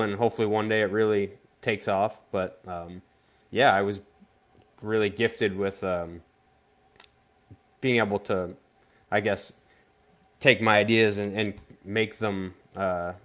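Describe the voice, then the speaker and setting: A man from Vermont, a guest artist on a casual live-stream interview, speaking in a halting way with frequent restarts. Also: fairly low pitch. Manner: halting, stops and restarts often.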